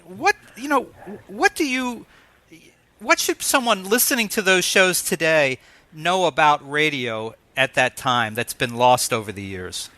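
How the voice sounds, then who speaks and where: A middle-aged man hosting a conversational interview, asking questions in a halting, tentative way. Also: fairly high pitch, animated, sharp tone. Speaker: interviewer, host.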